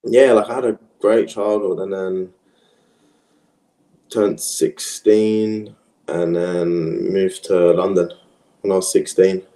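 A young man from Australia, whose first language was French, chatting casually as an interview guest in a relaxed, rambling way with many fillers. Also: low voice, takes it slowly, pauses often. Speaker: interview guest, professional footballer. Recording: echoey room.

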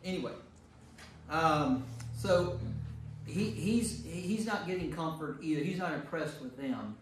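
A man's voice: a little high, lively intonation, measured pace, faint music behind. A man leading a church Bible study as priest, teaching informally and checking in with rhetorical questions. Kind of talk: informal teaching to a discussion group. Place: reverberant room at a church group meeting. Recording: very echoey room, distant mic, faint noise.

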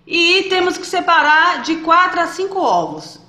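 A woman's voice: very high-pitched, sing-song, measured pace, bright, forceful tone. A woman hosting a cooking video who explains each step and keeps checking in with her viewers. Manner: chatty, checks in with viewers often. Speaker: cooking channel host. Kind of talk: step-by-step recipe demonstration. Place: home kitchen. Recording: echoey kitchen with faint noise.

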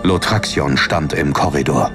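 A man with a very low voice narrates a fantasy audiobook in a dramatic, scripted style. Music plays clearly behind his reading. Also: a little slow. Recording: narration over background music.

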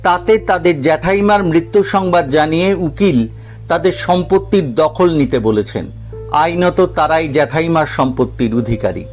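A man reading a scripted story as a narrator, with dramatic storytelling delivery. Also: fairly high pitch. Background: background music.

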